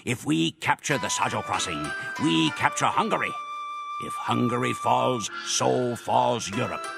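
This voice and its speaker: A man playing a proud Mongol warrior who solemnly narrates grand conquests in a measured, theatrical way for a game. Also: mid-range voice, vocal fry, over loud music. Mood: grave, resolute. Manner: measured, theatrical storytelling delivery. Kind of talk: dramatic scripted narration.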